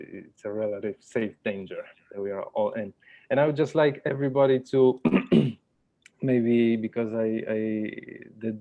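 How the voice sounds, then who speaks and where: A man leading an informal online workshop over a video call, speaking haltingly and encouragingly. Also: medium pitch, mellow, lo-fi sound. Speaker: workshop host. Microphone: video call microphone. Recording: video call with background music.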